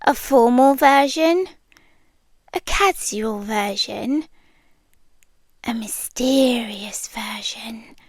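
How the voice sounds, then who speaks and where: A British woman playing a shy, anxious, lovestruck monster from under the bed in an intimate ASMR roleplay, speaking softly and awkwardly, often in a whisper. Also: high voice, dry recording, studio quality.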